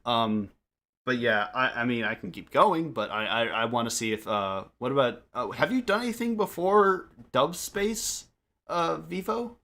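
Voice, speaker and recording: A man chatting casually on a group podcast, telling stories in a halting, rambling way that keeps interrupting itself. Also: medium pitch, animated, sharp tone. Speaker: podcast member, amateur voice actor.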